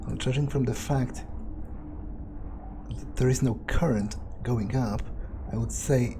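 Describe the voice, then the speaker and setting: A man's voice: medium pitch, measured pace, noisy background. A man thinking aloud in character as a brooding, grumbling vampire during a game playthrough, hesitant and prone to swearing. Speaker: let's player.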